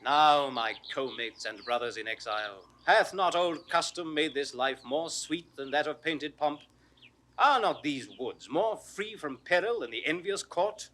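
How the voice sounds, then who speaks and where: A noble, philosophical duke living in forest exile. His voice sits fairly high and rings brightly, rising and falling widely as he declaims measured classical verse in an old audio drama style. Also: expressive, slight background noise. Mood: rousing, philosophical. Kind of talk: 1960s classical Shakespearean audio drama acting.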